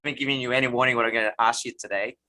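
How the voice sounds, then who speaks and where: A man's voice: medium pitch, steady tone, racing, sharp tone, poor audio. A young man from Australia with an Australian accent, hosting a podcast in easygoing casual chat and leading the conversation. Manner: easygoing, leads the talk. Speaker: podcast host.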